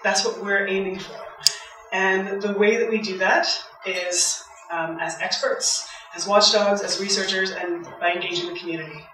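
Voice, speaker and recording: A soft-voiced woman from Canada, a waste campaigner giving a public talk, chatty and eager. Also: mid-range voice, fairly even intonation, normal speed, over music. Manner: chatty, eager, laughs often. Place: event hall. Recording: very echoey hall from far off.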